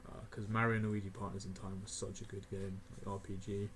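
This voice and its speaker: A young man of eighteen talks loosely through his rankings, his voice sitting a little low, with frequent chuckles and filler words. A faint hum of the room sits behind him, with the odd mouse click. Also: flat delivery, quick pace. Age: young adult (18). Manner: rambling, laughing often, many fillers. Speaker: gaming video creator. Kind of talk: casual tier list commentary.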